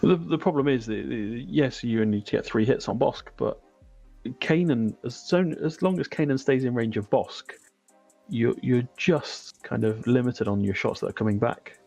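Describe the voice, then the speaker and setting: A man's voice: mid-range voice, lively intonation, moderate pace, gravelly, darkish tone. A man co-hosting live commentary on a tabletop miniatures game, casual and dryly teasing in manner. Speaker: stream co-host and commentator. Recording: close dry mic with faint music.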